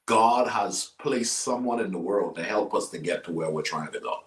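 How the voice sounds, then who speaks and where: A man's voice: sits low, moderate pace. A man hosting a talk show in an informal, exuberant way, folksy and emphatic, often repeating phrases for effect. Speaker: talk show host, life coach. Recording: roomy, echoey sound.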